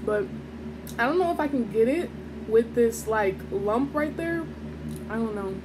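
Young woman rambling at her table at home, nasal and stuffed up, mic on the table, room hum clearly behind. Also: on the high side, lively intonation, a little slow. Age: young adult. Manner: rambling, chatty, many fillers. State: stuffy from allergies. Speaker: mukbang vlogger. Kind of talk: casual chat while eating. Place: small home dining room, indoors. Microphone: camera on table at close range.

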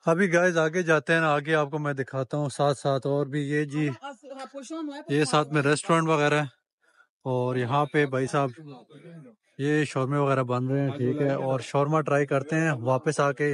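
A man's voice: fairly high pitch, fairly even intonation, moderate pace. A man giving a chatty walking-tour vlog of a street market, talking straight to viewers and bargaining with shopkeepers as he films. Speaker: travel vlogger.

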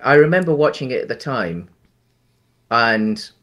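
A man's voice: medium pitch, lively intonation, measured pace, fairly bright tone. A man in his thirties co-hosting a casual film chat over a headset on a video call from home, rambling with many restarts and fillers. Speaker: podcast co-host.

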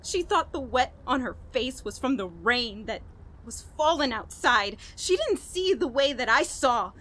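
An actress playing a guilt-ridden, unraveling woman in a radio horror play, telling her story in a halting, dramatic way. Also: high-pitched, lively intonation, fairly bright tone, heavily acted. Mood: dread. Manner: halting, repeating words, breaking into nervous laughs and gasps. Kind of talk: dramatic first-person horror monologue in old-radio style.

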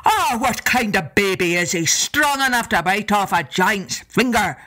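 A woman voicing a big, blustering male giant who speaks slowly and loudly, with a lively storytelling voice. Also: medium pitch.

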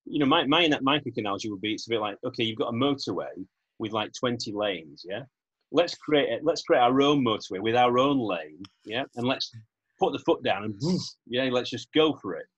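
Brief: husky-voiced British podcast host in his 50s, rambling and casual, speaking over a video call. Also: mid-range voice, lively intonation, a little fast, fairly bright tone, highs cut off. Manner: rambling, searches for words, asks for agreement with "yeah?". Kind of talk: casual interview chat.

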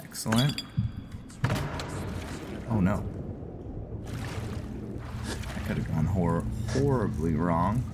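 Male gameplay commentator: deep register, unhurried and halting, musing aloud to viewers. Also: animated, heavy background noise. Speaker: video game streamer narrating his play. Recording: music behind the voice. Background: loud music.

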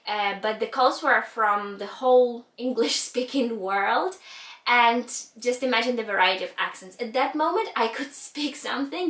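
A woman's voice: fairly high pitch, fairly bright tone, far from the mic. A young woman in her twenties with a Ukrainian accent, talking to camera about learning languages and gesturing expressively with her hands. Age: 20s. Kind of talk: scripted talk to camera. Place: indoor room with a rug backdrop. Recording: roomy echo, voice a little off-mic.

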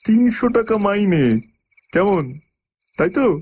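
A man playing an ominous, gossiping village station master in an audio drama, speaking hesitantly with many pauses and murmurs. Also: high voice, very animated, darkish tone, a little hiss or hum, heavily acted. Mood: uneasy, probing. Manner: halting, hesitant, full of pauses and murmured interjections. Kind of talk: Bengali audio drama acting.

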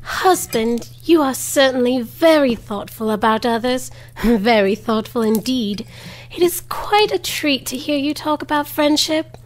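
High-voiced, doting wife. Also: female voice, unhurried, rough recording. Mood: admiring. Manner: gushing, flattering. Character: admiring, doting miller's wife. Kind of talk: theatrical audio drama acting.

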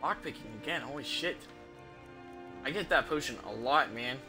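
A man's voice: mid-range voice, normal speed. A man in his thirties giving casual, sweary game commentary, thinking aloud as he plays on a stream. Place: indoors at home, streaming setup. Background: game music.

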